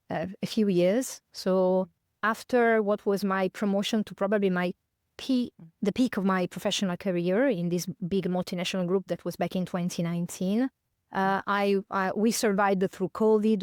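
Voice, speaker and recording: A woman in her fifties from northern Italy, speaking English with an Italian accent. Her voice is smooth and resonant, and the recording is close and dry. She talks in a thoughtful, searching way, full of fillers and self-corrections. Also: medium pitch, a little mellow, no echo. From northern Italy, near Milan. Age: 50s. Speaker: podcast guest. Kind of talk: reflective conversational interview.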